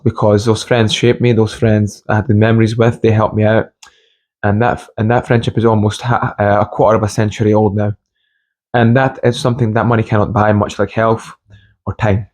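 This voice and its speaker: A young man from Scotland and Hungary giving an informal motivational monologue with personal stories, speaking haltingly and repeating phrases for emphasis. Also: lowish voice, darkish tone. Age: young adult. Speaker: motivational video host.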